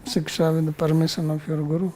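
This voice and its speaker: Mellow older Indian man teaching. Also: fairly high pitch, flat delivery, quick pace. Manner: blunt, questioning back. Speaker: spiritual teacher answering students. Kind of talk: class question-and-answer.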